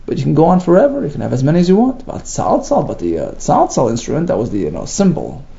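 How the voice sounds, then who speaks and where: Creaky sing-song man teaching Talmud. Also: a little high, huge pitch swings, fast, warm tone. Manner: sing-song, questioning, reads Hebrew then explains. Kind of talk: Talmud study lesson, reading and translating. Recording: dull lo-fi recording.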